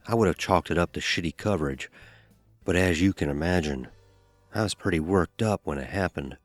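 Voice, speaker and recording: A man narrating a first-person horror story in a tense, suspenseful, dramatic style. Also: deep voice. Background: music.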